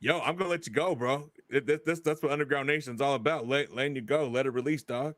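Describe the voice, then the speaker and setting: A man's voice: mid-range voice, quick pace, fairly bright tone, dry recording. A man co-hosting a podcast, laid-back, laughing often and dropping crude, jokey asides. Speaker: podcast co-host. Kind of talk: casual podcast chat.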